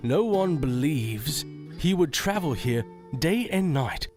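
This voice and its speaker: A man's voice: fairly high pitch, animated, slow pace, darkish tone, faint music behind. A man playing a wary young sheriff. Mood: grave. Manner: formal, grave, deferential. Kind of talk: fantasy audio drama acting.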